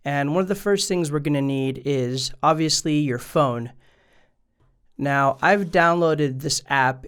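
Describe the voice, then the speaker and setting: A man with a fairly high, clean and smooth voice talks through a casual how-to video. He explains things step by step in an easygoing, conversational way, speaking straight to his viewers. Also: a bit bright. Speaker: music gear video maker and presenter.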